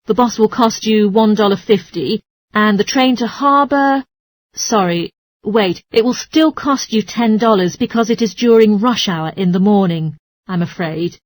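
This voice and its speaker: A woman's voice: medium pitch, unhurried, no room sound, lightly acted. A woman playing a polite, helpful transport information clerk who explains things in a scripted exercise dialogue. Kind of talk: scripted listening-test dialogue.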